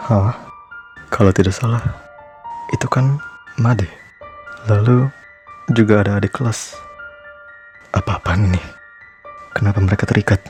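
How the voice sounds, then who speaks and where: Lowish male voice, teenage schoolboy acted by a man, reluctant, skeptical, questioning, visual novel drama, faint music. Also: fairly even intonation, unhurried, long pauses, a little mellow, close to an everyday voice. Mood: uneasy, startled.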